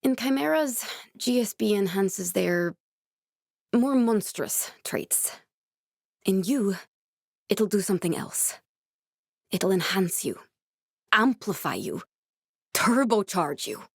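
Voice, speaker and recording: A woman's voice: mid-range voice, expressive, slow pace, gravelly, pauses often, no room sound, full character voice. Earnest woman scientist, very dry recording. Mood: focused, intent. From born in Norway, raised in Glasgow (character). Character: earnest, guarded woman scientist guiding a survivor ally. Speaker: video game character voice. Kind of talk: naturalistic video game dialogue acting.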